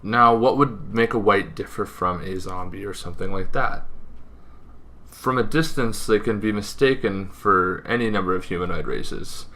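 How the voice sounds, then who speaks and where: A man's voice: fairly low pitch, moderate pace, pushed and bright. A man hosting an informal explainer, talking in a rambling way with asides and self-corrections. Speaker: host of a tabletop gaming show.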